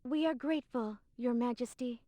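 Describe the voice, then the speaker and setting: An actress playing a self-possessed, analytical space traveler in a video game, formal and composed. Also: female voice, on the high side, normal speed, warm tone, dry recording, lightly acted. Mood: composed, polite. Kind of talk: scripted video game voice acting.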